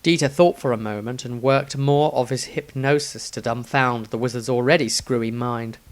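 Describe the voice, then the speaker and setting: A man's voice: mid-range voice, moderate pace. A man reading a scripted fantasy story as its narrator. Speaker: author and narrator.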